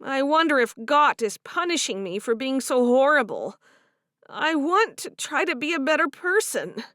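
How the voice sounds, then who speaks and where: A fretful young woman on the edge of tears, as a woman reads her, her voice pitched up high and lifted louder than the narration. She pleads and complains softly through a storybook scene, recorded close and dry. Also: big pitch swings, moderate pace, no room sound. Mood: tearful. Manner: soft, pleading, tearful.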